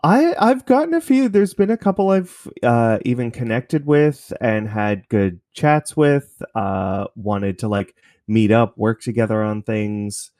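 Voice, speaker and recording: A man's voice: mid-range voice, animated, unhurried, few pauses, darkish tone, no room sound, studio quality. A man from Canada talking casually as a guest in an interview about his own creative work.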